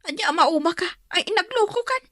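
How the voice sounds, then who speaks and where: A woman's voice: very high-pitched, expressive, a little fast, a bit bright, no room sound. An actress playing a chatty, nosy woman close to the heroine in an Ilocano radio drama. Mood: admiring, prying.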